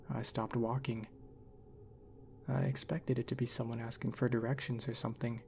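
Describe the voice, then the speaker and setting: A man reading a scripted scary story in a measured, deliberate way. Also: medium pitch, vocal fry, a little hiss or hum. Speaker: horror story narrator.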